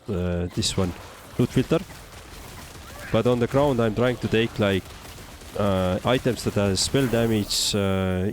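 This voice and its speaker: A man from Estonia with an Estonian accent, giving casual livestream game commentary and answering chat in a hesitant, thinking-aloud way. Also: sits low. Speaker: game streamer.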